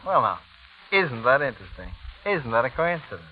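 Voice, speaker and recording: A man's voice: medium pitch, very animated, normal speed, natural voice. An American man playing a sly, menacing former prosecutor with a smug, insinuating manner in a 1940s radio drama. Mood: smug needling. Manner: smug, insinuating, drawling little 'huh' tags. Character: sly, menacing former prosecutor blackmailing his brother's wife. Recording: tinny, hissy 1940s radio recording.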